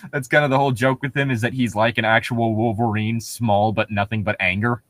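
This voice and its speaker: A man's voice: mid-range voice, lively intonation, normal speed, a bit bright, dry recording, studio quality. A man casually riffing and reading aloud in a jokey, sarcastic manner. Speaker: host of a creepypasta reading show.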